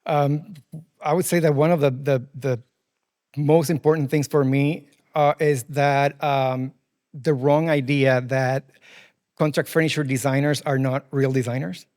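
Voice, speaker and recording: A man's voice: medium pitch, fairly even intonation, a little slow, a little mellow. A man in his forties with a Puerto Rican Spanish accent, Spanish his first language, answering interview questions thoughtfully with many ums. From Puerto Rico. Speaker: guest, furniture dealership president.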